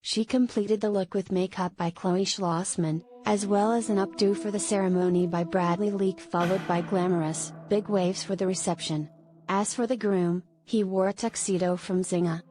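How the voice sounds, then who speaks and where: A woman narrating a scripted celebrity news article in a measured read-aloud manner. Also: medium pitch, fairly even intonation, mellow. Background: music.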